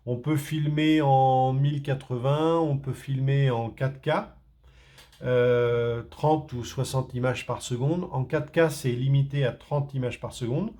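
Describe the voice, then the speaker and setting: A man's voice: medium pitch, steady tone, measured pace, mellow. A man from France doing a casual unboxing, talking hesitantly with many fillers and restarts. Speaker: tech reviewer.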